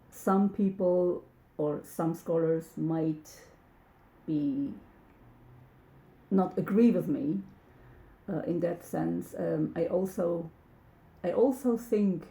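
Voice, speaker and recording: Slow, halting woman with gravelly voice. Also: on the low side, dark tone, reverberant. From Turkey. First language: Turkish. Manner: hesitant, many ums and restarts. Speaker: channel host. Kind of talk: casual talk to camera.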